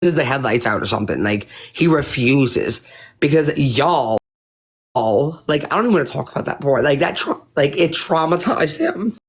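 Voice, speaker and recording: Woman streamer, lively swooping pitch, rambling profane chat, slightly hoarse voice. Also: moderate pace. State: losing her voice. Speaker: livestream host. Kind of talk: casual livestream chat.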